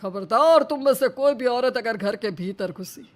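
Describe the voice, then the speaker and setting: A man's voice: very high voice, normal speed. An actor voicing a stern, indignant village household man in a moral-story cartoon, scolding in a declamatory way.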